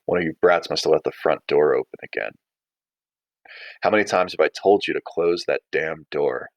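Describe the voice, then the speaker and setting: Man reading as irritable mother, curt, commanding, scolding, low voice, dry story read-aloud. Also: normal speed, no room sound, dull audio.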